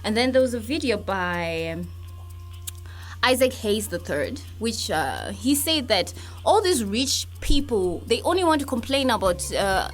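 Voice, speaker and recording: A woman's voice: fairly high pitch, big pitch swings, unhurried. A woman hosting a casual entertainment discussion in a conversational, opinionated way. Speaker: talk show host. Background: music playing behind the voice.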